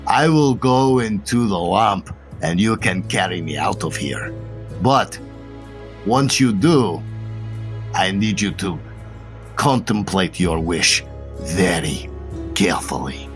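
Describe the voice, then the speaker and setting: A man's voice: low voice, expressive, takes it slowly, pauses often, soft background music, pitched below the performer's own voice. A man voicing a scheming male genie of the lamp in a grand, commanding stage manner.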